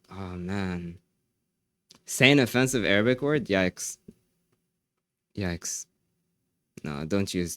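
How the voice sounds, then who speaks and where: Brief: male streamer, low voice, dry desk mic, easygoing chat replies. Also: dry recording. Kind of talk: casual live-stream chat.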